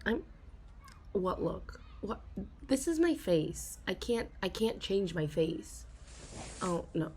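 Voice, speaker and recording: A young woman in an ASMR role-play, confiding softly to a listener, giggling often and halting with restarts. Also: medium pitch, very animated, breathy edge. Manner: soft, giggly, self-conscious, halting with many restarts.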